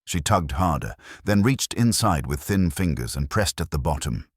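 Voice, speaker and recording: A man reading a first-person detective story as an audiobook narrator, in a wry, measured storytelling manner. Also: extremely low pitch, expressive, dry recording, studio quality.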